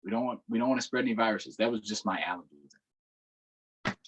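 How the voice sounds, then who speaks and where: A man's voice: lowish voice, normal speed, fairly bright tone, slightly rough, rough recording. An American man hosting a livestreamed storytime for children, talking casually in an upbeat, conversational way.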